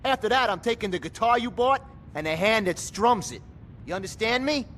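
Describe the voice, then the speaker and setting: A ruthless loan shark with a high, animated voice. He is contemptuous and threatening, in video game style, over a faint rumble. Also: fairly quick. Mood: angry, threatening. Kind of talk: video game cutscene acting.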